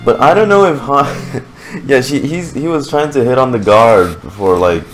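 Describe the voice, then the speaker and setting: A young man giving casual, slangy reaction commentary on a game, with joking asides, into a boom mic. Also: animated, moderate pace, soft background music, rough recording. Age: young adult. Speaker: gaming streamer. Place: small indoor room. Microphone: microphone on a boom arm.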